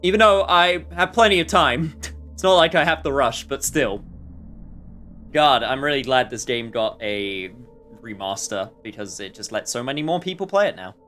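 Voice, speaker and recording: A male game streamer talks casually over live play in a medium-pitched voice. He rambles along and often searches for the right word. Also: normal speed, pushed and bright, faint music behind. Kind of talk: casual live gameplay commentary.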